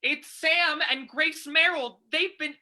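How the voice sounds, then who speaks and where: A woman acts a timid, horror-struck young villager for an old-time radio drama. The voice is high and very sharp, with drawn-out, fearful delivery. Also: exaggerated voice. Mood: horrified. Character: frightened, obedient young male villager.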